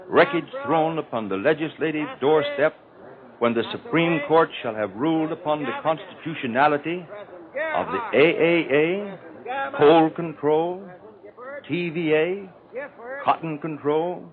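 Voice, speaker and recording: Tinny 1930s American radio commentator, oratorical man. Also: fairly high pitch. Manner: oratorical, measured, emphatic phrasing. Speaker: radio political news commentator. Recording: old 1930s radio broadcast, narrow tinny band.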